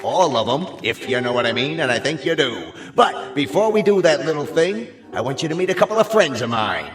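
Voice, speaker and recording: Hammy, echoey nightclub emcee. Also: male voice, on the high side, very animated, brisk. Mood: jokey, showy. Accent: American. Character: hammy wisecracking male nightclub emcee working the crowd. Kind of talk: old-school stand-up patter in radio drama. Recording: echoey club microphone, music behind.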